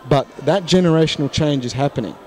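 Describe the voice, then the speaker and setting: A man's voice: a little high, quick pace, a crowd in the background. Frank man at a conference. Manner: frank, thoughtful, many restarts. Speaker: interview guest, English teacher. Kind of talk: casual interview. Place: conference hall lounge, indoors. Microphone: handheld mic.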